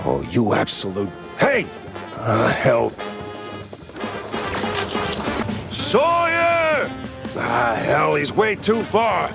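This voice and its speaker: A man playing a stubborn, sardonic hardboiled mouse private detective in a noir cartoon game, with a gravelly voice. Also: a little high, wildly swooping pitch, slow pace, music in the background, exaggerated voice. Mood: angry, taunting. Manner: sardonic, wisecracking.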